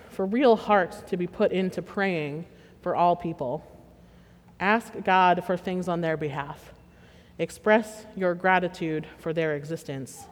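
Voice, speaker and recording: Lady preacher from western pa, easygoing and a bit funny, big echoey church sound. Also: medium pitch. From Western Pennsylvania. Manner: measured, plainspoken and gently humorous. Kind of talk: sermon with scripture reading. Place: church sanctuary. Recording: long reverb of a church sanctuary.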